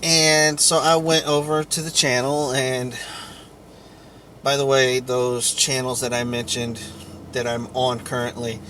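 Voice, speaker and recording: Male vlogger, unhurried and halting, telling a rambling personal story; faint vehicle-like noise behind. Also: medium pitch, little pitch movement, sharp tone. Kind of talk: rambling personal account to camera or mic.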